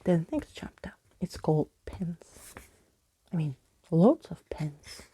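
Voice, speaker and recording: A woman reading a storybook as ASMR, in a soft, breathy, gently hushed voice that slips into whispering. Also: on the low side, very animated, slow. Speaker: ASMR reader, narrator.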